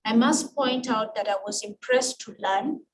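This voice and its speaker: A woman with a Kenyan accent and Luo as her first language gives a formal, measured prepared speech with emphatic phrasing. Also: a little high. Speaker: guest speaker at an international women's event. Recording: distant mic with clear background noise.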